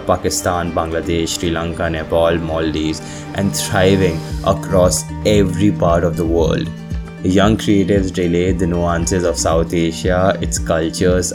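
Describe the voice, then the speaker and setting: A man with a very low voice hosts an interview podcast. He reads a scripted welcome and then asks long, halting questions full of fillers, while soft music plays faintly behind him. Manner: hesitant, many "uh" fillers when asking questions. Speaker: podcast host.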